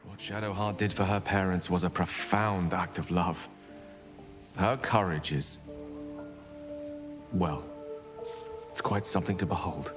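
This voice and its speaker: Deep-voiced earnest adventurer. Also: male voice, measured pace, pauses often, music in the background. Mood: moved admiration. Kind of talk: theatrical video game dialogue.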